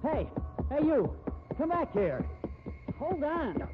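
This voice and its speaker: A man's voice: very high voice, huge pitch swings, takes it slowly, loud music behind the voice, heavily acted. An actor playing a disapproving, well-dressed society man in a 1950s radio drama, skeptical and indignant. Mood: surprised. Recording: tinny 1950s radio recording.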